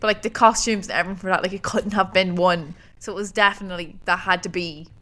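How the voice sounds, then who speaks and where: An Irish woman co-hosting a podcast, chatting casually with lots of fillers and quick agreement. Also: lowish voice, steady tone, pushed and bright. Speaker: podcast co-host. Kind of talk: casual chat.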